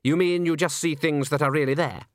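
A man voicing Salzella, an urbane director of music who speaks smoothly and sardonically. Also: fairly high pitch, fairly even intonation, a little fast, no echo, clean studio sound, voice pushed up, natural voice. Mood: sardonic.

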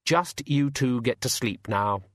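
A man voicing a brisk, unflappable young governess, firm, curt and dryly threatening, in an audiobook. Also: medium pitch, expressive, dry recording, studio quality, lightly acted. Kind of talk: audiobook character voice.

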